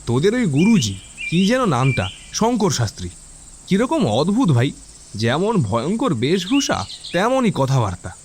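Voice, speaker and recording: A man's voice: fairly high pitch, huge pitch swings, normal speed, noisy background. An actor playing a curious, skeptical young male college student in an audio drama, with an inquisitive manner. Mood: mocking, skeptical. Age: young adult. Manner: questioning, inquisitive.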